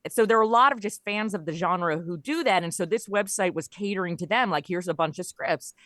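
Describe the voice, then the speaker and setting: A woman's voice: fairly low pitch, fairly quick, fairly bright tone, dry recording, studio quality. A woman speaking as an interview guest, telling stories in a casual, conversational way. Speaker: podcast guest, audio comedy creator.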